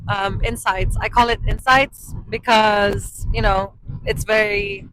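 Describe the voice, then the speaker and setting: A woman's voice: mid-range voice, level tone, slow, a bit bright, a little hiss or hum, rough recording. Female host, rambling and giggly, handheld mic in a car. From Poland. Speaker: podcast host. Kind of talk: casual chat. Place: inside a moving car. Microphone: handheld microphone.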